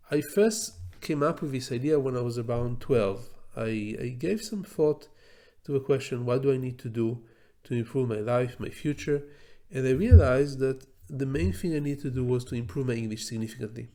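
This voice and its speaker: A middle-aged man, a native Hebrew speaker from Israel, giving a slow, thoughtful solo talk on learning English, with frequent pauses and fillers. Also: medium pitch, a little mellow. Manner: thoughtful, many fillers and restarts, slow deliberate phrasing. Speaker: law professor teaching English learning tips. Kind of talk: solo educational talk to camera.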